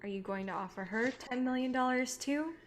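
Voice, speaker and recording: A woman playing an earnest, idealistic female filmmaker in a staged play reading, speaking in a sincere, measured way. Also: high-pitched, lightly acted. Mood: hurt, pleading.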